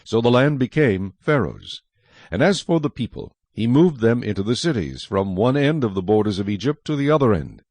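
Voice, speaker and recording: A man narrating scripture from a script as a measured, dramatic storyteller. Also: mid-range voice, big pitch swings, a little mellow, dry recording.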